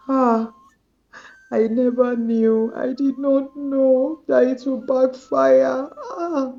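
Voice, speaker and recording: A woman doing a crying, begging young woman, slow dragging words, dark tone. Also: dull audio, full character voice. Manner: pleading, high and tearful. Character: remorseful young woman pleading for forgiveness.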